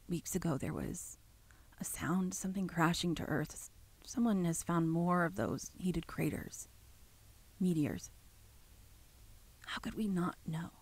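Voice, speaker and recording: Low, husky, uneasy woman. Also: darkish tone. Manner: halting, brooding, breaking into whispers. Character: uneasy, argumentative woman recording a confession-like account. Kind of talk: dramatic horror monologue.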